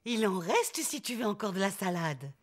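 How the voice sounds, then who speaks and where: A fond, coaxing grandma pig. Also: female voice, mid-range voice, big pitch swings, moderate pace. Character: warm, caring grandmother pig. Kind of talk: cartoon dubbing. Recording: cartoon soundtrack with music.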